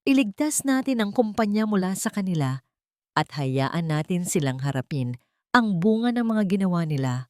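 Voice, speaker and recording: A woman narrator acts out a wronged female executive in a romance audio story. She is resolute and commanding. Her voice sits slightly low, and the sound is dry. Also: very animated, moderate pace, dry recording. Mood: hard resolve. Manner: firm, commanding.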